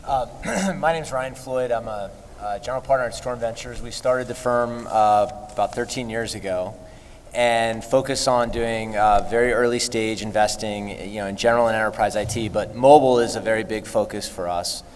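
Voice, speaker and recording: Lowish-voiced man in a noisy hall. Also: fairly even intonation, moderate pace. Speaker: panelist, venture capitalist. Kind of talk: semi-formal panel talk. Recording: noisy, reverberant conference hall.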